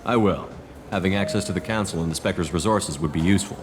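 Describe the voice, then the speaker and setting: A man's voice: low voice, quick pace, background noise, close to an everyday voice. A man playing a stoic, determined space commander in a video game, serious and direct. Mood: calm, dry.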